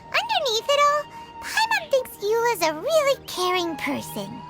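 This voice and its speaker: An actress voicing a tiny, excitable female fairy companion who refers to herself in the third person, in a game. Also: very high voice, a little slow, over loud music, exaggerated voice. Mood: excited. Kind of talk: game voice acting.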